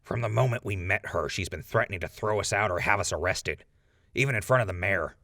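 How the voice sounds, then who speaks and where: A man plays a defiant ghoul on the witness stand in a post-apocalyptic radio play. His low voice is forceful and creaky, and he rambles on argumentatively with outspoken conviction. The sound is close and dry. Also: a little fast, no room sound, heavily acted. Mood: insistent. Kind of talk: post-apocalyptic audio drama acting.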